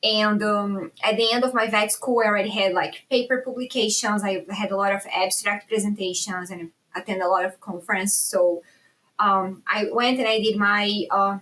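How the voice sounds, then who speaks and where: A woman's voice: medium pitch, fairly even intonation, normal speed, fairly bright tone, low-quality recording. A woman from Brazil in her thirties, with a Brazilian Portuguese accent, speaking as a guest on a podcast in a chatty, easygoing way with frequent restarts. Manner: chatty, laughs easily, with hesitant restarts. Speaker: guest researcher. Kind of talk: casual interview conversation.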